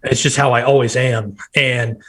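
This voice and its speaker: A man in his thirties from Nebraska with a nasal, hoarse voice, chatting casually as a podcast guest and telling rambling stories. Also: medium pitch, fairly even intonation, moderate pace, fairly bright tone, low-quality recording. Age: 30s. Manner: rambling, quotes himself in bursts. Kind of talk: casual chat.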